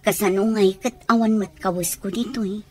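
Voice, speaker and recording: Actress as anxious Ilocano mother, medium-pitched voice, radio comedy drama delivery. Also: fairly even intonation, brisk, natural voice. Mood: worried. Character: worried mother, far from her children.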